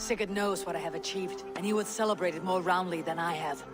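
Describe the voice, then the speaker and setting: A woman voicing a confident Viking clan leader who speaks in a measured, declamatory way. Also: fairly low pitch, natural voice. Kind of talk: video game cutscene acting. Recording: reverberant mix with music.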